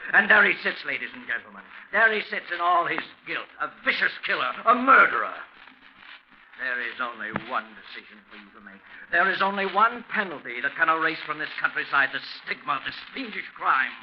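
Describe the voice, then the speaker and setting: A muffled old radio recording with faint hiss carries a fiery prosecutor. His fairly high voice rises and falls in accusing, declamatory 1940s courtroom oratory. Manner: oratorical, declamatory, emphatic repetition.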